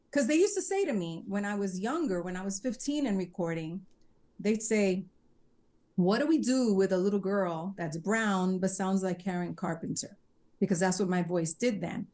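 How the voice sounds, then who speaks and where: This is a woman from the Bronx, somewhere in her fifties, talking as a guest on a podcast. Her voice sits at an ordinary middle pitch. She rambles easily, piles on fillers and slips into quoting people as she tells a story. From Bronx, New York. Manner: chatty, animated retelling with quoted dialogue. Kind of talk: casual interview storytelling.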